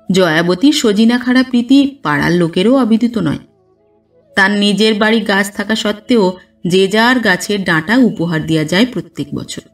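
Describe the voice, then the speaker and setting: A woman reading a classic literary story as an audio narrator, in a measured, expressive storytelling manner. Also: mid-range voice, distant mic. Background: background music.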